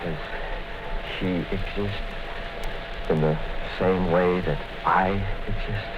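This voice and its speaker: An actor in a 1950s radio play playing a frightened male prisoner under torture, with a halting, strained, pleading delivery. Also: low-pitched, fairly even intonation, over music. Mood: defiant. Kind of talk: 1950s radio drama acting. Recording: dull 1950s radio broadcast with hiss.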